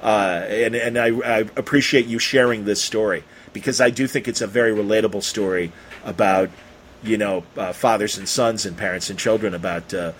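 A man's voice: lowish voice, fairly even intonation, moderate pace, slight background noise. An American man hosting a casual interview, talking in a rambling, effusive way. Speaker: podcast interviewer.

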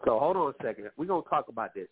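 A man's voice: medium pitch, animated, very fast, a little hiss or hum. Profane male radio host on a tinny line. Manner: loose, profane, preachy advice to listeners. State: nasal and wheezy from allergies. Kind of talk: casual call-in radio advice talk. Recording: phone-like lo-fi line.

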